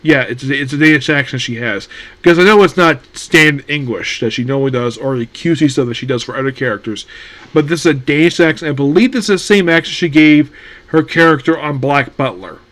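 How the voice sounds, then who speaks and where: A man's voice: a little high, fairly quick, fairly bright tone. A man hosting a casual solo anime news talk, rambling with many fillers and restarts.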